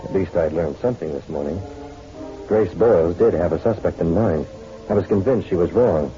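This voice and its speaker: A man's voice: low voice, normal speed, close to an everyday voice. A man playing a dry, wry private detective in a hardboiled radio drama, speaking in clipped, matter-of-fact lines. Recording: dull radio recording with music behind.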